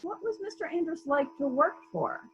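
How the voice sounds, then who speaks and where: A woman playing a brisk, authoritative police detective who puts pointed questions in a murder mystery reading, on slightly dull lo-fi audio. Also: sits high, lively intonation, slight background noise. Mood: probing, brisk.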